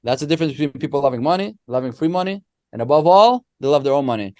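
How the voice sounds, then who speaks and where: A man, a podcast guest who explains ideas through animated, restart-filled stories. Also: on the high side, very fast, no echo. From Israel. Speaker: guest, company co-founder. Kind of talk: casual podcast interview.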